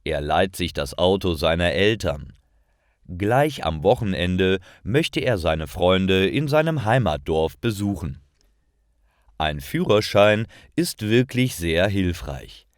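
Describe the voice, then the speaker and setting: A man reading a scripted story for language learners, with careful, clearly separated phrasing. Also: deep voice, expressive, takes it slowly, no echo, studio quality. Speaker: narrator of language-learning stories.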